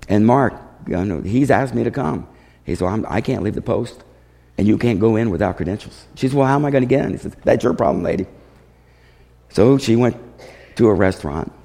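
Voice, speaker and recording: A man with a gravelly, mellow voice tells missionary stories as a testimony. He is animated in how he relays who said what. The voice rings through a reverberant hall. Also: fairly low pitch. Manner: animated, acts out dialogue. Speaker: missionary speaker. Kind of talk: testimony storytelling to a congregation.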